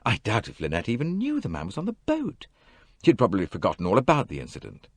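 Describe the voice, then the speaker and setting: A reader playing a brusque young husband, raised and forceful, his voice pushed higher and louder than the narration. Dry, close audiobook sound. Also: wildly swooping pitch, fast talker, dry recording, heavily acted. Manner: harsh, brusque. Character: harsh, agitated young husband.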